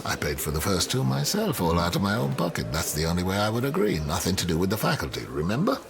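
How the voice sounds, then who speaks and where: An actor playing a proud, defensive history professor in a naturalistic radio drama, insistent and questioning. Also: male voice, low-pitched, huge pitch swings, fast talker, gravelly, noisy background, full character voice. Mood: wary.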